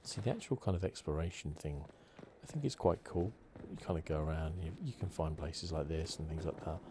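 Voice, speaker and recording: Male ASMR gamer, extremely low hushed voice, noticeable noise behind him. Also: brisk. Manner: soft-spoken, hushed, drifting into whispers. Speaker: gaming channel host. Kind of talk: ASMR video game playthrough commentary.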